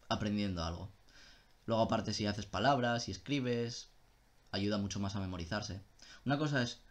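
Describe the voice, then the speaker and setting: Casual man, low voice. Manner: loose and casual, with swearing and self-interruptions. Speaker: streamer answering viewer questions. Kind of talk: casual live-stream chat.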